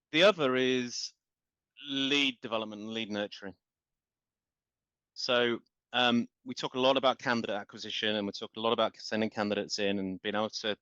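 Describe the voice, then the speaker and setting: A man's voice: lowish voice, fairly bright tone, no room sound. Man, business guest, passionate and rambling, dry and muffled. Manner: passionate, talks in long run-on explanations with repeated words. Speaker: guest, marketing company founder. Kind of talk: business podcast interview. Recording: dull, lo-fi.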